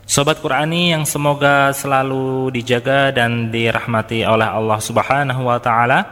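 A man hosting a religious radio program with measured, formal announcements. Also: medium pitch, fairly even intonation, a bit bright, in a large hall. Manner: measured, formal opening greetings.

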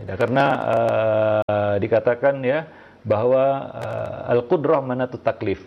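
A male religious teacher answering listener questions in a measured, hesitant way, often tagging his phrases with short fillers. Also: mid-range voice, creaky. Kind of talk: religious Q&A lecture. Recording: muffled lo-fi audio.

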